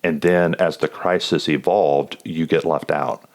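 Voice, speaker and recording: A man's voice: very deep voice, fairly even intonation, a little slow, darkish tone. A man hosting an informal, reflective interview as a chaplain and counselor, asking questions conversationally. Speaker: podcast host, police chaplain and counselor.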